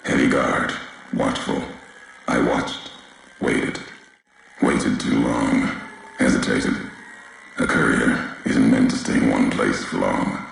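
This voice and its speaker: An actor playing a brooding, vengeful wasteland courier in a video game, speaking gravely in slow, cryptic, clipped fragments with a gravelly, very deep voice. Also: male voice, full character voice. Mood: brooding, haunted. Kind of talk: video game character dialogue. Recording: heavily echoing, faint background noise.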